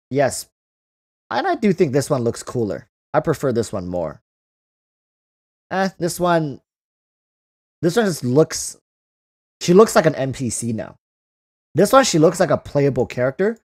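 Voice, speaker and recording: A man's voice: mid-range voice, a little mellow. A man hosting a casual livestream, reading out and answering viewer comments, often repeating phrases as he thinks aloud. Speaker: livestream host.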